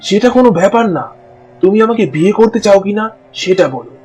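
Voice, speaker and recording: Man as a high-voiced, smitten young prince. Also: lively intonation, brisk, a little mellow, faint music behind. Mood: earnest, wooing.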